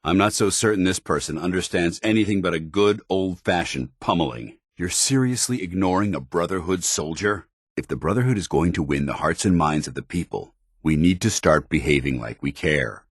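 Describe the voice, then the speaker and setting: An American actor playing a stern, principled military paladin in a video game, with clipped, formal, authoritative diction. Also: male voice, sits low, animated, normal speed, husky, no room sound, studio quality. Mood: firm, measured. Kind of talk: video game companion dialogue.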